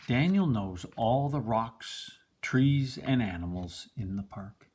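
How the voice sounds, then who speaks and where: Slow, expressive older man reading a storybook. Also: mid-range voice, a little hiss or hum. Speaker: grandfather reading a picture book. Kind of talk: read-aloud children's storybook narration.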